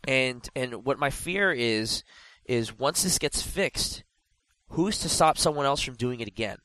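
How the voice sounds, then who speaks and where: A man's voice: medium pitch, a little slow, no room sound, low-quality recording. A man with a stuffy, blocked nose holds forth on a casual gaming podcast, circling back and restarting his sentences as he goes. His voice sits in an ordinary middle range. The sound is close and dry, with no room around it. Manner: animated, rambling with frequent restarts and fillers. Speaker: podcast co-host.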